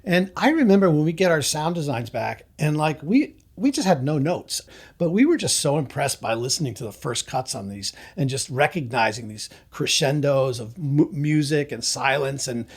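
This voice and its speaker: Relaxed, reflective man with a higher voice. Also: normal speed, husky. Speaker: podcast creator, guest. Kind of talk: casual interview chat.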